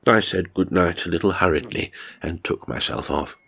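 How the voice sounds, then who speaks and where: A man's voice: low-pitched, moderate pace, vocal fry, fairly bright tone. A man reading a first-person horror story as scripted narration, dramatic and suspenseful in his delivery. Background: music.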